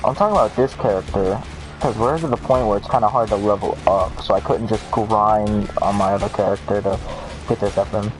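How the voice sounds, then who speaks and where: A man's voice: fairly low pitch, fairly quick, faint music behind, rough recording. A man giving casual, rambling, self-deprecating commentary while playing a video game. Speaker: let's play gamer.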